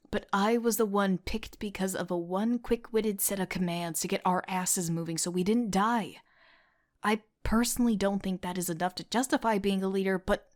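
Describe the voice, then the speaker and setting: A woman playing a young team leader who narrates an audio drama in a reflective, softly breathy, introspective way. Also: medium pitch, dry recording, clean studio sound, close to an everyday voice. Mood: firm, blunt.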